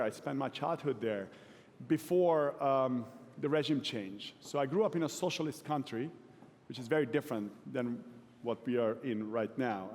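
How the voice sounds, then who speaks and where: A man from Hungary in his forties, giving a relaxed, self-deprecating conference talk to a live audience. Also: medium pitch. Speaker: keynote speaker. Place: large event hall. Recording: long hall reverb.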